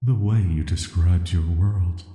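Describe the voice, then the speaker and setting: A man playing an ancient cursed villain in a sleep-aid audio roleplay, speaking in a soft, flat, soothing and intimate way, flirty yet dangerous. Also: sits low, slow. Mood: calm, admiring. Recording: echoey, long reverb.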